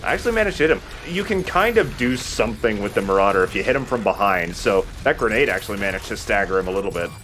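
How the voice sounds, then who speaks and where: A man's voice: fairly low pitch, lively intonation, fast, few pauses, fairly bright tone, a little hiss or hum. A man giving casual commentary as he plays a shooter game, as host of a let's play.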